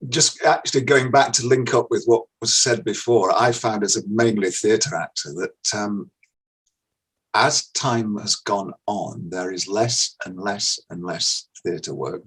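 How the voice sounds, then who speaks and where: A man's voice: lowish voice, unhurried, a bit bright, slightly rough, rough recording. An older man, a theatre actor talking casually and reflectively in an interview.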